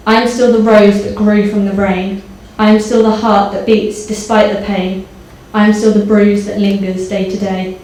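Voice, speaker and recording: A woman's voice: medium pitch, darkish tone. A young woman giving a prepared speech and poetry recital on stage in a hall. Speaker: poet at own book launch. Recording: echoey hall, faint background noise.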